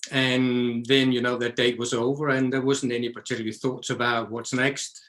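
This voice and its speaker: A man, a guest telling his story in a podcast interview in an unhurried, reflective way. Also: mid-range voice, flat delivery, a bit bright. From Denmark. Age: 60s.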